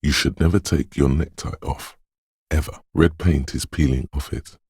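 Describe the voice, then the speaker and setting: An actor playing a brooding, dreamlike inner voice of intuition in a video game, narrating slowly, solemnly and ominously in poetic second person. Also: male voice, a bass voice, steady tone, darkish tone, breathy edge, no room sound, clean studio sound, full character voice. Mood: uneasy, warning.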